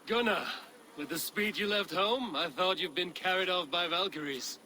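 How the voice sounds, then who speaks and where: An actor playing a steady, diplomatic Viking warrior chieftain in a video game, measured and dryly wry. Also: male voice, sits high, slight background noise. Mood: friendly, probing. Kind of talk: dramatic video game acting.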